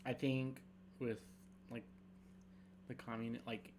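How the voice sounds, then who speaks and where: A man's voice: mid-range voice, level tone, darkish tone, slight background noise. A young adult man co-hosting a podcast, chatting loosely with fillers and restarts, sometimes reading a script aloud. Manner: rambling, halting, many fillers. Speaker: podcast co-host.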